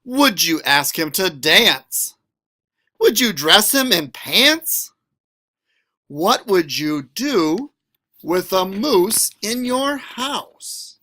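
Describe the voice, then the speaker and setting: Animated, slow-paced man reading to children. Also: high voice. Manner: expressive, questioning, stretches out words for children. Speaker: school librarian reading a picture book. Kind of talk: animated read-aloud of a children's picture book.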